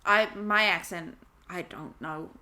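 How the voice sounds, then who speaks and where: Bright-voiced young Wellington woman. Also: lowish voice, slow pace. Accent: Wellington New Zealand, with American words. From New Zealand. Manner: playful, insistent. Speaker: podcast co-host. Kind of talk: casual chat.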